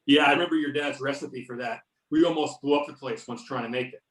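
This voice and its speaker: A man's voice: medium pitch, fast talker, natural voice. A man acting a wry, easygoing federal agent in an amateur readers theater over a video call. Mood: friendly, probing. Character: wry male FBI agent back in his hometown. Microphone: videoconference or conference call. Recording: roomy, distant videoconference mic.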